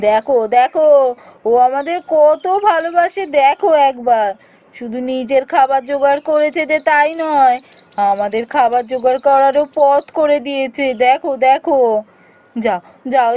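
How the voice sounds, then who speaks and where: A woman's voice: high-pitched, normal speed, warm tone, highs cut off, close to an everyday voice. A woman playing a poor, tender, practical village wife in a fairy-tale cartoon, speaking expressively and emphatically. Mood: delighted.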